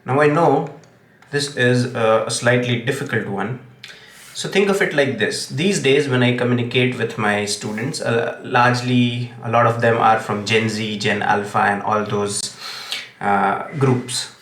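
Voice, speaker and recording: A man's voice: medium pitch, a little slow, fairly bright tone. A young man, an English teacher whose first language is Gujarati, speaks with an Indian English accent and gives earnest tips, often saying "okay". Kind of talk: direct-to-camera tips video. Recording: echoey room.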